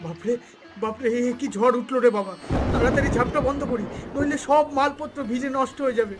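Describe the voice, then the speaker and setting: A man's voice: very high voice, fairly quick, over loud music. A man voicing a humble, sorrowful but hopeful village father in a cartoon, speaking earnestly. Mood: alarmed. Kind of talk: cartoon voice acting.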